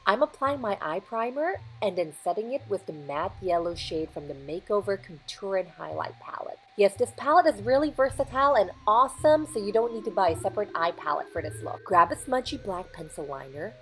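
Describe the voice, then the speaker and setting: A young woman from Indonesia with a hoarse voice, talking through a makeup tutorial step by step in a chatty, instructive voiceover. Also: medium pitch, animated, a little fast, few pauses, fairly bright tone, faint music behind, low-quality recording. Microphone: close voiceover mic.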